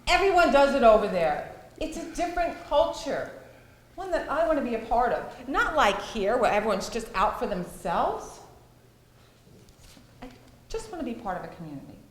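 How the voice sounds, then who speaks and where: A woman's voice: on the high side, big pitch swings, normal speed, long pauses. A woman playing a trusting, defensive, idealistic wife in a stage play, earnest and emphatic. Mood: yearning. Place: indoor theatre stage. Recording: echoey hall, long reverb.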